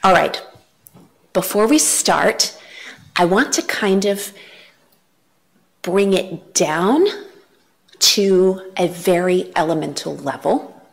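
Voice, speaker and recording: A woman's voice: fairly low pitch, slow, creaky, long pauses. A woman in her thirties giving an earnest church talk from a lectern, gesturing as she speaks, on a headset mic. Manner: earnest, animated gestures, varied emphasis.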